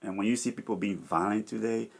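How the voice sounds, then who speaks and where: A man hosting a casual interview, asking halting questions with restarts and "uh" fillers. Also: on the low side, gravelly. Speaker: show host.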